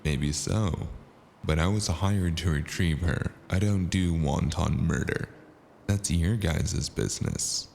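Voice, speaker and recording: A man acts out a cynical noir mercenary who narrates his own tale. His voice sits low with a gritty rasp of fry, and his delivery is sardonic and deadpan. A little noise sits behind him. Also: takes it slowly, full character voice. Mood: coy, dry. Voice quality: deep and gravelly. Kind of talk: noir audio drama acting and narration.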